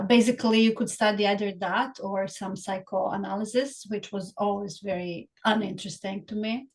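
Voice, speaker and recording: A middle-aged woman talks through her story in an interview. Her voice carries a gravelly fry, and she pauses and restarts often as she thinks. She sounds measured and reflective. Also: mid-range voice, poor audio. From Bosnia, raised in Serbia. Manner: thoughtful, frequent hesitations and restarts. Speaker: psychologist guest. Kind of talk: interview conversation.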